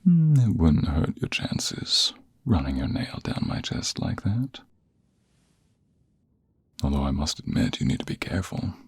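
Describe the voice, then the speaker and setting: A man acting out a smug, flirtatious office rival for an ASMR romance. His voice is extremely deep and very husky, often sinking into a breathy half-whisper. He takes his time, teasing and seducing with dramatic pauses. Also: sing-song, no echo, full character voice. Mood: teasing, amused. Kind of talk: ASMR romantic roleplay, close and intimate.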